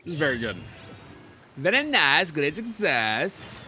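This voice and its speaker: A man's voice: on the high side, very animated, a little slow, bright, forceful tone, slight background noise. A young man streaming his casual, slangy reactions to a game, often repeating his phrases.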